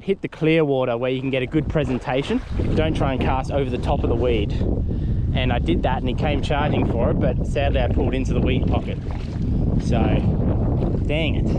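A young Australian man fly fishing from a lakeshore, chatty as he explains his tips, recorded on a head-mounted camera. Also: mid-range voice. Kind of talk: casual outdoor fishing vlog. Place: lakeshore outdoors on a windy day. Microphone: head-mounted action camera. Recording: wind noise on an outdoor camera mic.

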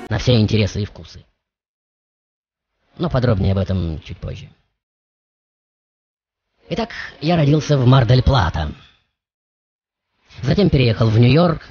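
Low-voiced theatrical musician, played by a man. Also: a little mellow, slight background noise, natural voice. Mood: grand, musing, then reminiscing. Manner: dramatic, deliberate phrasing with pauses. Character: passionate, self-assured male tango musician telling his life story. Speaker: radio show host. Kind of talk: theatrical first-person storytelling as a famous musician. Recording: dull radio recording with music.

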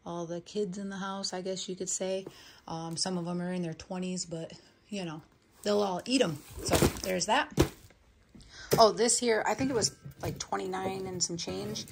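A woman's voice: mid-range voice, creaky, slightly rough. A middle-aged woman, chatting casually and matter-of-factly in a rambling home vlog. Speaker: home vlogger. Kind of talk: casual chat, showing a grocery haul.

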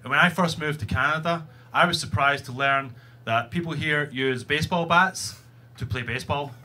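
A man with a Glaswegian Scottish accent doing a sweary, punchy stand-up set on a club stage into a handheld mic. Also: fairly high pitch. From Scotland. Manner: brash, sweary, punchy delivery. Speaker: stand-up comedian. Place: comedy club stage, indoors. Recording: live club recording with audience noise.

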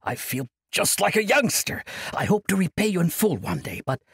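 A man's voice: sits high, big pitch swings, moderate pace, creaky, a bit bright, husky, voice pushed up, heavily acted. A man voicing an eccentric, cheerful old monk with a sing-song, scatterbrained manner. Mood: jovial.

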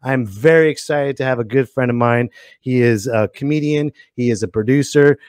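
A man's voice: medium pitch, moderate pace, smooth, no echo. A man in his thirties hosting a comedy show in a casual, exclamatory way, speaking close to a podcast microphone. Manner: animated, exclamatory. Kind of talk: casual comedic monologue and interview. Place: indoor home studio, dry. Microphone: close podcast microphone, headset.

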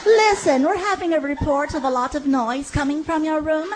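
A man's voice: very high voice, normal speed, pitched above the performer's own voice. A man voicing a polite young female hotel front desk clerk whose customer-service sweetness turns bossy. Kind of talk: stand-up comedy storytelling. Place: comedy stage before a live crowd. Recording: live show with audience, noisy.

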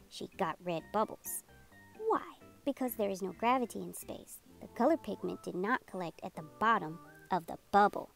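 A woman narrating a children's read-aloud in an expressive, deliberate storytelling manner. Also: medium pitch, gravelly. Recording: music behind the voice. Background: music.

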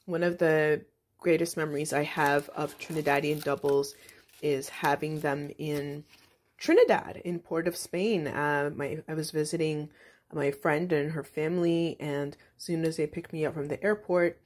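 Low-voiced woman chatting through a cooking vlog. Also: normal speed. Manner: relaxed, chatty, with frequent ums. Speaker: author hosting a cooking video. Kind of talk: casual cooking vlog narration. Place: home kitchen.

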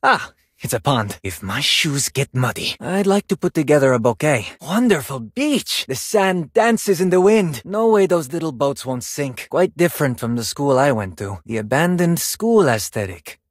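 A man's voice: a little high, big pitch swings, normal speed, slightly rough, no echo, clean studio sound. A man voicing a vain, graceful Spanish male ballet dancer for a video game, theatrical and self-admiring, with a Spanish accent. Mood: musing, elegant. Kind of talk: video game character voice lines.

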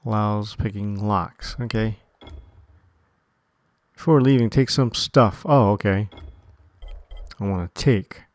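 A man casually commenting while playing a game, musing and joking in slow asides. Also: fairly low pitch. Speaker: game streamer. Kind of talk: casual let's-play commentary. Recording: voice over game music.

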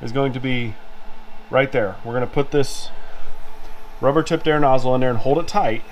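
A man's voice: medium pitch, steady tone, moderate pace. A man giving an unscripted, step-by-step hands-on tutorial as a mechanic, in plain-spoken words. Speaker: mechanic demonstrating a repair on video. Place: indoor garage workshop at a workbench. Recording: clearly noisy workshop camera audio. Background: clear workshop background noise.

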